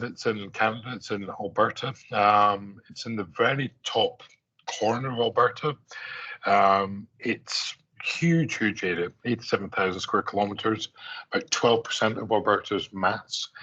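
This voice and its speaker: A middle-aged man with an Aberdeen Scottish accent gives a conference talk in a loose, conversational way. His voice is low, husky and bright-edged, and the audio is dull and lo-fi. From Scotland. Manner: conversational with frequent fillers and restarts. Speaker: conference speaker. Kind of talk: conference presentation.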